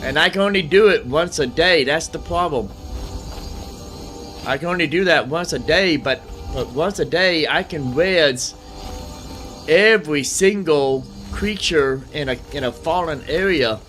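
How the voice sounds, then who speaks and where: A man's voice: fairly high pitch, unhurried, fairly bright tone. A man hosting a gaming video with casual commentary, thinking aloud and repeating himself. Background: game music.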